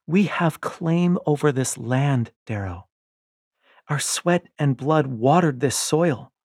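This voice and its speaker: A man voicing a passionate young rebel wife, speaking urgently and pleadingly with a breathy tone. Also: on the high side, takes it slowly, a little mellow, dry recording, studio quality, pitched above the performer's own voice, full character voice. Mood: impassioned.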